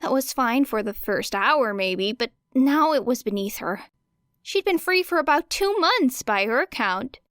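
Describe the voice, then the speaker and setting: A woman narrates fiction in a fairly high voice. She reads the scripted prose expressively, as an audiobook reader would. The recording is close and very dry, with hardly any room sound. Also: expressive, unhurried, a bit bright, no echo.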